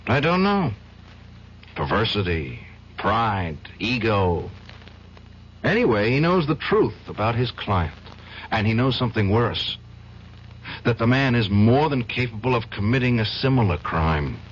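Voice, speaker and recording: An actor playing a troubled young defense lawyer in a radio drama, hesitant and stammering, emotionally charged. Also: male voice, on the low side, sing-song, unhurried. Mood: earnest. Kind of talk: 1970s radio drama acting. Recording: dull old radio broadcast, faint hiss.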